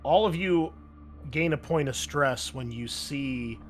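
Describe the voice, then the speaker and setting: A man's voice: mid-range voice, slow pace. Casual man running a tabletop game over music. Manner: informal, sweary. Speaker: game master and host.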